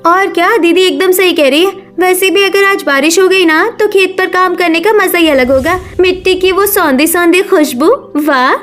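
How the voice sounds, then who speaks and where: Lady doing young bahu, really high voice, eager n spirited, cartoon. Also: normal speed, hardly any pauses, fairly bright tone. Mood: dreamy, eager. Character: sweet, spirited young daughter-in-law.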